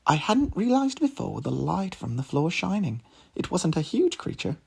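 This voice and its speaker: A man's voice: sits high, very animated, moderate pace, gravelly, darkish tone, slightly rough, pitched above the performer's own voice, full character voice. A man doing an eager clever cat sidekick. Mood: explaining. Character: clever female cat sidekick, brisk and earnest.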